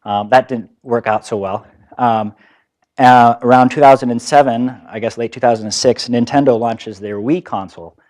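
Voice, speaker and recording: A man's voice: mid-range voice, steady tone, moderate pace, darkish tone. A man in his thirties who grew up in Japan, giving a lecture about his career as a startup founder, talking easily with many fillers and restarts. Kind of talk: conference lecture. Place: lecture hall.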